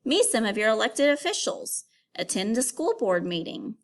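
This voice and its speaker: A woman teacher reading aloud to young pupils and explaining as she goes, in an instructive, clearly phrased way. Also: fairly high pitch, sing-song, normal speed. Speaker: elementary school teacher. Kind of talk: read-aloud reading lesson for children.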